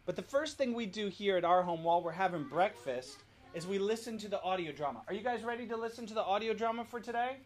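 A man's voice: sits high, fast. A man hosting from home, informally explaining things step by step in a casual walk-through. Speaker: host of a family worship demo. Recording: noisy home recording.

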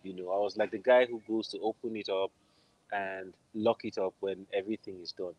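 A man's voice: lowish voice, steady tone, a little fast, no echo, dull audio. A middle-aged man with a Nigerian accent, telling a personal story conversationally as an interview guest on a video call. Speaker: interview guest, film composer. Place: indoor room at home, video call.

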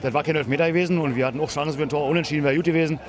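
A man's voice: a little high, fairly even intonation, racing. A middle-aged man, a football fan, answering casually in a street interview outside a stadium. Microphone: handheld interview microphone.

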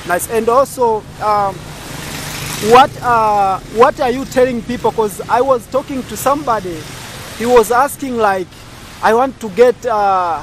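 Young tanzanian guy, highish voice, chatty, phone at arm's length outside, bit of street noise. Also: measured pace. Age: young adult. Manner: chatty, eager, addresses viewers directly. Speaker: vlogger host. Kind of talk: casual street interview. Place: outdoors at a market stall area. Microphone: camera held at arm's length.

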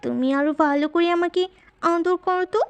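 A woman's voice: extremely high pitch, moderate pace. A woman playing a flirtatious, scheming unfaithful wife in an audio drama, coaxing and intimate. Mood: sly, affectionate. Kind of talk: audio love-story drama acting.

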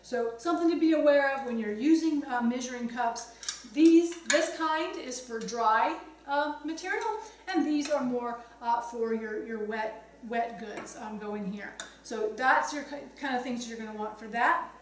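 High-pitched woman teaching in an echoey kitchen. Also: normal speed. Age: middle-aged. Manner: conversational, explanatory, chatty asides. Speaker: cooking instructor, host. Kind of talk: casual instructional demonstration to camera. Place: home kitchen, indoors. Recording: roomy echo, distant mic, some background noise.

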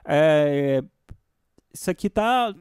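Male tutorial host, Brazilian accent, slightly high pitch, dry close mic, informal software walkthrough. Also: lively intonation, extremely slow, clear, resonant tone, no echo. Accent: Brazilian Portuguese. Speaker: music production tutorial host.

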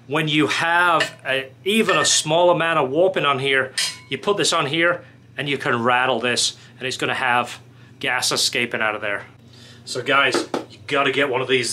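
A man's voice: medium pitch, fairly even intonation, normal speed, background noise. A middle-aged man casually explaining a product as a fabricator, in an informal, hands-on way. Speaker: fabricator and welder presenting a product. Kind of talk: casual workshop product demonstration. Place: small indoor workshop office.

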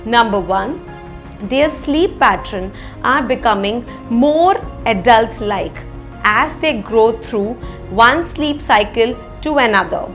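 Slow-paced Indian-accented woman explaining. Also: on the high side, lively intonation. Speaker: parenting educator, presenter. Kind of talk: explainer lesson to camera. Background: background music.

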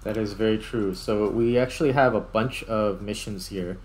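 Low-voiced man commentating a game. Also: moderate pace. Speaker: host, gamer. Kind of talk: casual gameplay commentary. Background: background music.

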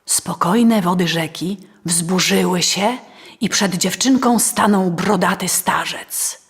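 Slow-paced woman storyteller. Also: lowish voice. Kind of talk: scripted fairy tale narration. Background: faint music.

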